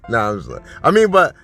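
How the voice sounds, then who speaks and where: A man hosting a casual video, talking loosely with fillers and frequent laughs, reading list items aloud, his voice bassy from just waking up. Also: vocal fry, bright, forceful tone. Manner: rambling, laughs often, many fillers. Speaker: vlogger host.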